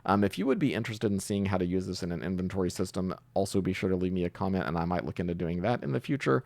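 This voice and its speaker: Casting: male tutorial host, very low and creaky voice, informal, thinks out loud, close dry sound. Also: expressive, no room sound. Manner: thinking aloud, hesitant pauses. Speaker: tutorial host, programming instructor. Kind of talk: casual screen-share coding tutorial, improvised.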